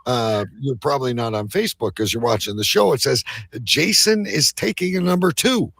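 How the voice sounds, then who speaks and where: A man hosting a live show in casual chat, with a halting, rambling delivery. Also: mid-range voice, lively intonation, creaky, fairly bright tone, no room sound. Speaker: host of a live online show.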